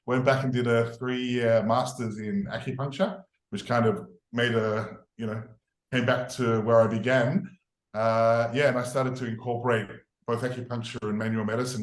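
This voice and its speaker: A middle-aged man with an Australian accent, a podcast guest telling his story in a relaxed, rambling way with many fillers. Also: lowish voice, roomy sound. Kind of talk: podcast interview.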